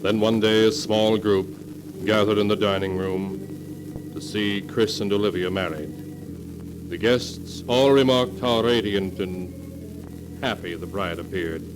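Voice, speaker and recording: Low-voiced man narrating old radio drama. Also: slow, poor audio. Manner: dramatic storytelling. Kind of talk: 1940s radio scripted narration. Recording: vintage radio recording with music. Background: music.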